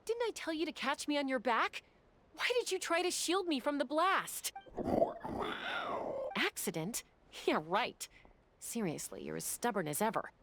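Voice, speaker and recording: A woman's voice: sits high, sing-song, a little slow, pauses often, background noise. An actress playing a bold, stubborn young woman warrior in a fantasy video game, with dramatic delivery. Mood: scolding, fond. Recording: game stream with loud music. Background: loud game music.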